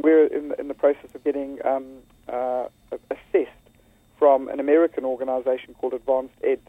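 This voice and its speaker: Brief: South African school head, earnest, stumbling restarts, heard over a thin phone line, dry sound. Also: male voice, medium pitch, no room sound. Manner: earnest, many restarts and repeated words. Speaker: school leader. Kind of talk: phone-in radio interview.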